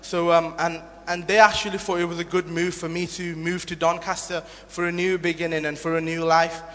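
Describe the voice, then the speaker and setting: A young man from Nepal shares his testimony in a church hall, speaking earnestly and haltingly, full of ums and restarts. His voice sits a little high, and his words ring out with long reverb across the room. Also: little pitch movement, fairly bright tone. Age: 20s. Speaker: guest giving a testimony.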